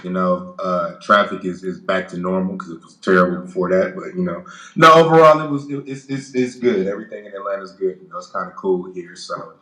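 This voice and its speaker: A man's voice: low-pitched, fairly quick, pushed and bright, reverberant, poor audio. A man in his late twenties, a guest in a casual chat, blunt and profane, stumbling through restarts. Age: 20s.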